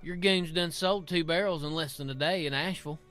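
A man's voice: fairly high pitch, brisk, a bit bright, faint music behind, close to an everyday voice. A man playing a proud, touchy Appalachian moonshiner with a rural Southern American accent in an audio drama. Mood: grudging, boastful. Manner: folksy, touchy.

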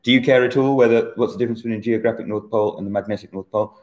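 A man in his forties hosting a casual online quiz from a bright room at home, chatty and teasing, recorded on a laptop webcam. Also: on the low side, steady tone, racing. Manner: chatty, teasing, self-mocking. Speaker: quiz host.

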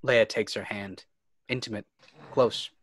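A man's voice: on the low side, fairly even intonation, measured pace, dry recording. A man reading screenplay stage directions as dramatic scripted narration.

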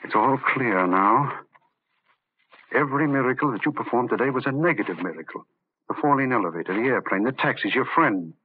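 An actor playing an intense, rational but rattled doctor in an old-time radio drama, speaking urgently and emphatically. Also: male voice, medium pitch, expressive, a little fast, husky. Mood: urgently excited. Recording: muffled narrow-band old radio recording.

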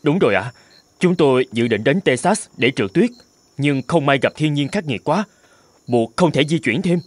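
An actor playing a young, easygoing and polite male traveller in an animated cartoon, with expressive delivery. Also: fairly high pitch, moderate pace, dry recording. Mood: polite, reassuring. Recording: music behind.

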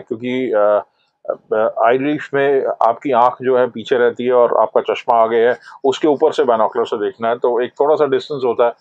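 A man's voice: mid-range voice, darkish tone, dry recording, highs cut off. A middle-aged man in a shop, explaining binoculars in a product review, hesitating often with "uh" fillers. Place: binocular shop, indoors, shelves of boxes. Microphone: lapel mic.

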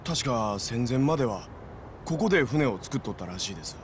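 An actor as an earnest Hiroshima yakuza underling. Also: male voice, mid-range voice, expressive, fairly quick, a little hiss or hum, natural voice. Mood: explaining. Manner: deferential, explanatory. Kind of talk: video game cutscene acting.

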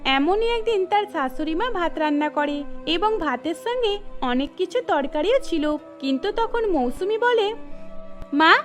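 Woman, cartoon story narrator, extremely high voice, scripted delivery, faint music behind. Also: expressive, measured pace. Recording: music bed under the voice.

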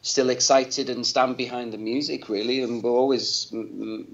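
A bassist in his thirties whose first language is Welsh, giving thoughtful, halting answers over a video call. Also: steady tone, highs cut off. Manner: thoughtful, searching for words, many restarts. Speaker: bassist guest. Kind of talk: casual interview answers. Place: home room. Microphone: laptop video call.

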